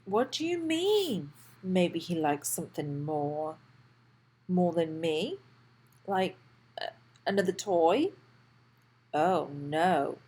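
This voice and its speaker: A woman voicing an excitable, anxious and boastful toy dinosaur in a children's storybook, with a childlike, dramatic delivery. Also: on the low side, slow pace, pauses often, slightly rough, voice pushed down, full character voice. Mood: panicked. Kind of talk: children's storybook read-aloud.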